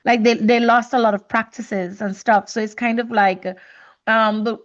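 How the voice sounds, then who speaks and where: A woman's voice: on the high side, steady tone, moderate pace, lo-fi sound. A woman from Trinidad and Tobago speaking reflectively and conversationally as a podcast host, often repeating words as she searches for phrasing.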